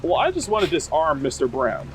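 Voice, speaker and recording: A man talking casually as a tabletop game player, stating his moves in an informal, deliberate way. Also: fairly high pitch, wildly swooping pitch, a bit bright, a little hiss or hum, rough recording. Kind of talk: casual game play talk.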